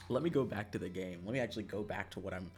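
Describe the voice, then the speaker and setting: A streamer chatting casually on a livestream in a joking, self-mocking, dramatic way, swearing freely. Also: deep voice.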